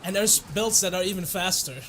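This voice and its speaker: A Dutch man, a native Dutch speaker, chatting casually in English with his chat while streaming a game, relaxed and often chuckling. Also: high-pitched, fairly quick, slight background noise. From Netherlands.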